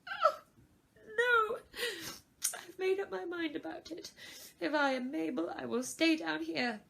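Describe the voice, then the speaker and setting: A woman's voice: extremely high pitch, lively intonation, unhurried, vocal fry, fairly bright tone, pitched above the performer's own voice, full character voice. A woman voicing a fretful, puzzled young girl in a storybook, with a plaintive, dramatic manner. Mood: tearful, despairing. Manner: plaintive, dramatic, self-questioning.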